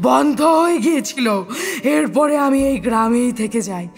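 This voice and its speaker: Woman acting a high, creaky vengeful serpent woman. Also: expressive, moderate pace. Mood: brooding, menacing. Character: vengeful, gloating, man-eating female serpent spirit. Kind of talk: dramatized horror audio story. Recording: long hall reverb, music behind.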